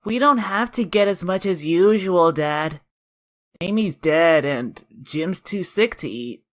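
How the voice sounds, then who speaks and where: A woman's voice: lowish voice, lively intonation, slow. A woman voicing an earnest young boy talking to his father in a comic dub. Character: Carl, young boy, Rick's earnest son.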